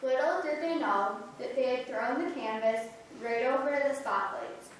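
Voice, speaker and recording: A woman reading funny church stories aloud in reader's theater, telling them in a lively way. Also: high voice, moderate pace, a little hiss or hum. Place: large church sanctuary. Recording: echoey church from far off.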